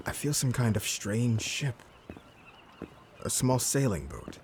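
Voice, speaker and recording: An actor playing a remorseful, brooding former pirate in an audio drama, with a gravelly voice and halting, subdued manner. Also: male voice, medium pitch, sing-song, a little mellow, a little hiss or hum. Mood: subdued, uneasy.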